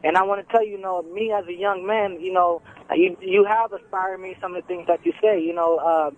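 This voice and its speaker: A man's voice: high-pitched, fairly even intonation. Young man on a tinny phone line. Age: 20s. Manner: halting, many restarts and fillers, earnest. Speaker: caller. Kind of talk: casual phone call.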